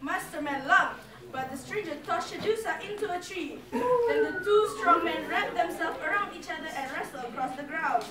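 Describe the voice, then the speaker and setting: Young woman, student stage narrator, dramatic, far from the mic, roomy lecture hall, loud crowd. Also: very high voice, animated, moderate pace. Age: young adult. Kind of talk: dramatic readers theatre narration. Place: large lecture hall, far camera in audience.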